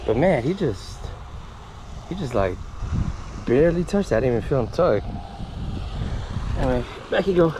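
A man giving casual running commentary to viewers while fishing at a park pond, getting excited over each catch. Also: medium pitch, moderate pace, long pauses, low-quality recording. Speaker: fishing vlogger. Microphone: head-mounted action camera. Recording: outdoor camera audio with noise.

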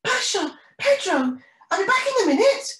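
Lady doing a little girl penguin from a storybook, eager n helpful, really high voice, bit echoey. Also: sing-song, moderate pace, fairly bright tone, heavily acted. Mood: excited, calling out. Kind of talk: children's storybook reading.

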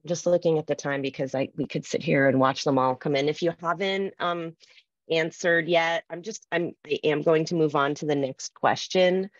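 Low-voiced woman presenting, thinking aloud. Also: steady tone, moderate pace, dry recording. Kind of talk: informal conference workshop talk, reading out poll responses. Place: video call from a room with bookshelves. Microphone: computer mic on video call. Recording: slightly dull video-call audio.

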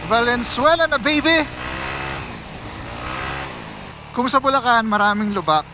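A man's voice: extremely high pitch, lively intonation, moderate pace, noisy background. A Filipino man chatting casually to viewers as he rides, conversational and mixing in English phrases. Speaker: motorcycle vlogger. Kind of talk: casual vlog chat to viewers while riding. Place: outdoors, riding a motorcycle on city roads.

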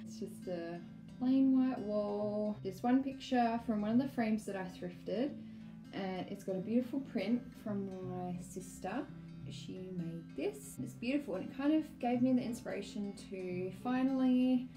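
Hoarse woman in an echoey room. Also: mid-range voice. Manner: chatty, explains steps as she works. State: hoarse from a cold. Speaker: DIY vlogger. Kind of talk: casual home DIY vlog. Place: small home rooms, nursery. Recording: echoey room with guitar music behind.

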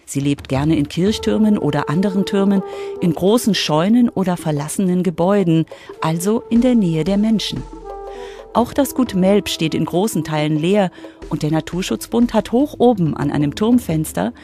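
A woman's voice: fairly low pitch, normal speed, dark tone, soft background music. A woman reporter giving scripted narration for a children's radio story.

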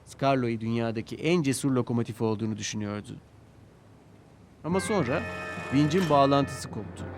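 A man's voice: mid-range voice, brisk. A man narrating a scripted children's story with dramatic storytelling delivery. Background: music.

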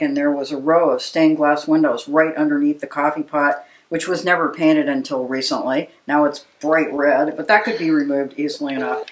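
An American woman in her sixties explaining things in a measured way during a conversational interview as a local preservation advocate. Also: sits low, a little hiss or hum. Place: living room with books, indoors. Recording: roomy, echoey living room.

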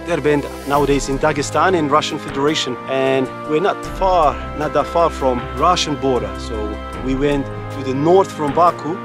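A man, hosting a travel vlog on a foggy mountain trail and explaining the sights in earnest, to a camera held at arm's length. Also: a little high. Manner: earnest, explanatory, speaks English with non-native phrasing. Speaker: travel vlogger host. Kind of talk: walking travel vlog narration. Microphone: handheld camera at arm's length.

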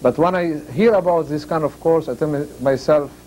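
A man's voice: on the high side, normal speed, warm tone, a little hiss or hum, low-quality recording. An older man from Egypt giving a short, sincere speech at a podium. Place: community hall. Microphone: podium mic.

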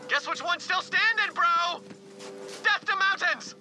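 A man's voice: very high-pitched, a little slow, music in the background. An actor playing a boastful, goofy male bandit leader in a video game who talks like a bro. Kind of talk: video game character dialogue. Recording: game audio under noisy gameplay.

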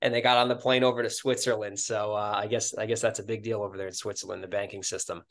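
Fast-talking young male podcast host. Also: on the low side, little pitch movement, fairly bright tone. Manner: upbeat, fast-talking, casual filler "like". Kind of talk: podcast interview over video call. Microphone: video call webcam.

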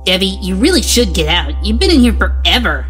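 A woman's voice: mid-range voice, big pitch swings, fairly quick, over music, natural voice. A woman playing a casual, level-headed young friend in a dramatized comic. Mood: casual, dry.

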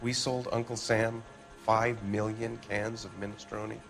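An American actor playing a defensive, evasive middle-aged factory owner in a video game, with an indignant, blustering manner. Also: male voice, lowish voice, little pitch movement, a little slow, loud music behind the voice.

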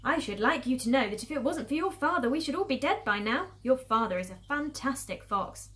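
A woman's voice: sits high, expressive, a little fast, a bit bright, voice pushed up. A woman voicing a tender, anxious mother fox in a storybook, speaking with pleading emotion. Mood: proud, loving.

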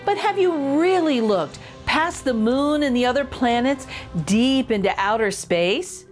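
High, animated middle-aged woman reading to children. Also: faint music behind. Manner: expressive, slow, exaggerated intonation. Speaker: children's storytime host reading a picture book aloud. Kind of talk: animated read-aloud for young children.

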